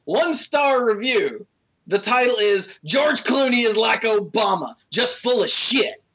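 Husky, animated woman in her thirties. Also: fairly high pitch, measured pace, rough recording. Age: 30s. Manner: amused, giggly. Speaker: podcast co-host reading out reviews. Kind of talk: casual chat and comic reading aloud.

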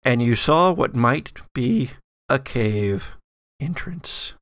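A man's voice: mid-range voice, lively intonation, slow, a bit bright, no echo, clean studio sound. A man narrating as game master of a horror tabletop role-playing game.

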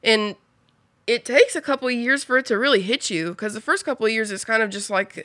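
Young woman in her twenties rambling thru her story, bright tone, dry close sound. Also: on the high side, fairly quick, no room sound. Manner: rambling, full of "like" and "you know", swears casually. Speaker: podcast guest. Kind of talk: casual personal storytelling.

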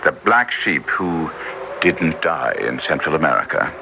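Incisive, authoritative detective, deep and very animated, old radio drama style. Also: male voice, measured pace, vocal fry, heavily acted. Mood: grim, assured. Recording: noisy vintage radio recording.

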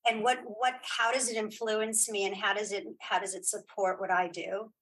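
A woman is a guest in a casual interview. Her voice sits at a middle pitch. She speaks off the cuff, doubling back on words and restarting sentences as she thinks. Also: flat delivery, fairly bright tone, slightly rough, poor audio. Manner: halting, repeats words and restarts often. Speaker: guest, filmmaker and writer.

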